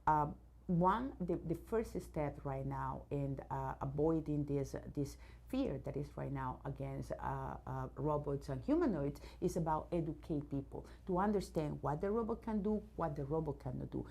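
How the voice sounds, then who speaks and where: Woman, from Venezuela, English speaker, low voice, hesitant restarts, enthusiastic, CEO interviewee. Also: moderate pace, creaky, dark tone. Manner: many fillers and restarts, searching for words; enthusiastic about her subject. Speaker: robotics company CEO, guest. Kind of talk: news interview.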